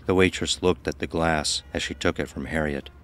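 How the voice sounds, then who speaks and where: A man's voice: extremely low pitch, fairly even intonation, moderate pace. A man reading scripted story narration as the narrator of an audio drama.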